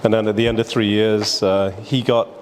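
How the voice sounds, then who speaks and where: A man's voice: fairly low pitch, flat delivery, normal speed, a little hiss or hum. A man, a businessman guest telling his life story in a casual, rambling way, often laughing. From Barbados.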